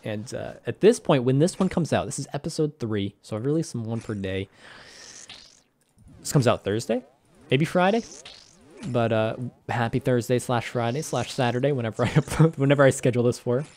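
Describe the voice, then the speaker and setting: A young man with a slightly hoarse voice talks over his gameplay in a loose, rambling way. He is often self-deprecating and laughs easily, and his pitch sits in an ordinary middle range. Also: lively intonation, brisk, a little mellow, a little hiss or hum. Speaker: gaming commentator. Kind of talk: casual game commentary.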